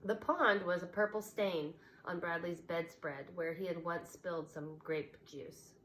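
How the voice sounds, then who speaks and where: A woman teacher reading a children's novel aloud, expressive and changing voices for the characters. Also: on the low side, a little slow, slight background noise.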